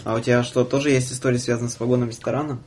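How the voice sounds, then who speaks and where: A man's voice: medium pitch, little pitch movement, rapid-fire. Young man joking through anecdotes, faint noise. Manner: playful, quotes others in his stories. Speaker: podcast host, student train conductor. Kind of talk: casual chat and joking anecdotes. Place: podcast studio.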